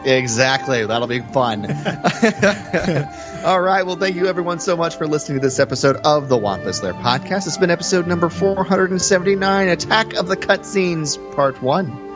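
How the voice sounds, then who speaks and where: A man's voice: fairly high pitch, expressive, moderate pace, music in the background. A man co-hosting a podcast, talking through film in a casual, conversational way with hesitant, hedged phrasing. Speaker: podcast co-host.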